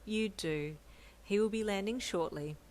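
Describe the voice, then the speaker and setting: A woman reading a story, voicing a cheerful, kind male chief editor with a bright, upbeat manner. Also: medium pitch, measured pace, slight background noise, lightly acted.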